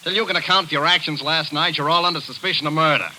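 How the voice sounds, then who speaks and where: An actor playing a blunt, impatient police lieutenant in a 1940s radio drama, brusque and interrogating. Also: male voice, on the high side, fairly even intonation, quick pace, pushed and bright, low-quality recording. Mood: pressing. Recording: hissy old radio recording.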